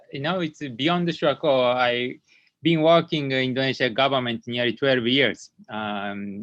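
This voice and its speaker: A man from Japan with a Japanese accent presents slides as a company founder in an online meeting, speaking haltingly with many hesitant fillers. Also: mid-range voice, fairly bright tone, no echo. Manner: halting, hesitant delivery with frequent long fillers. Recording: dull, lo-fi online meeting audio.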